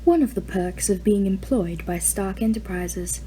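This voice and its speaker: A woman voicing a poised woman executive who speaks calmly and softly. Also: mid-range voice, moderate pace, a little hiss or hum, natural voice, low-quality recording.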